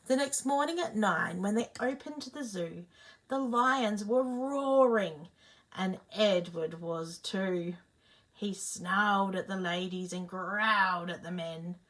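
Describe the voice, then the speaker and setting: A woman reading a children's picture book aloud, expressive, pausing to ask her young listeners questions. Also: mid-range voice. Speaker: storyteller.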